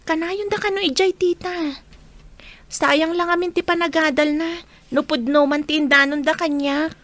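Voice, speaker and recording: A woman's voice: sits high, fairly even intonation, normal speed, a bit bright, no echo. A woman playing an earnest, concerned young student who pleads sincerely in an amateur audio drama.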